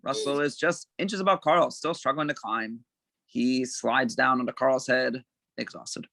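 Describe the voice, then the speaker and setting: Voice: mid-range voice, unhurried, creaky, fairly bright tone. A narrator reading out scene descriptions and stage directions at an informal script table read.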